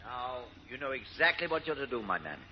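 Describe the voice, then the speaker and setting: An actor playing a coolly commanding, scheming British ex-detective in an old radio drama, speaking in a clipped, patronising way. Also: male voice, mid-range voice, very animated, fairly quick, highs cut off, lightly acted. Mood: smug, commanding. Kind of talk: 1940s radio acting. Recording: hollow, noisy old radio recording, long reverb.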